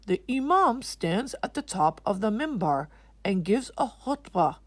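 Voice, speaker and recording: A woman playing a calm, instructive father in a children's storybook, explaining in a measured way. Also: mid-range voice, dry recording, pitched above the performer's own voice.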